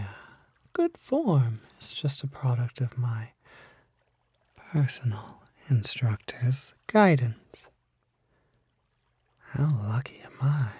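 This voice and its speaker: A man voicing a charming, unsettling kelpie in an ASMR roleplay, soft and often whispering, coaxing and flattering with teasing false modesty, close to the microphone. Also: mid-range voice, slightly rough, no echo, full character voice. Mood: flattering, attentive. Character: charming, unsettling male kelpie, smooth-talking seductive lurer. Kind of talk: ASMR roleplay, intimate one-sided acted dialogue.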